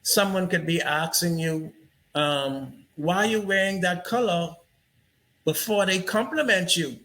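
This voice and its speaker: A man's voice: high voice, a little slow, bright, forceful tone, a little hiss or hum. A man hosting an interview, talking reflectively and telling stories with frequent "you know" asides. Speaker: interview host. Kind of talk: casual interview conversation.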